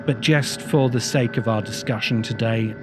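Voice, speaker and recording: A man hosting a podcast, delivering a reflective monologue in a grave, deliberate way with emphatic phrasing. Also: mid-range voice, over music. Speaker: podcast host. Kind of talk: reflective monologue, video essay.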